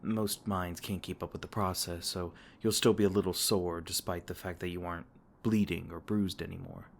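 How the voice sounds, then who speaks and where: A man voicing a gentle, protective male fae king in an ASMR comfort roleplay, soft-spoken and tender, stumbling over his words now and then. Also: low voice, fairly even intonation, moderate pace, creaky, a little hiss or hum. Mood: tender, soothing.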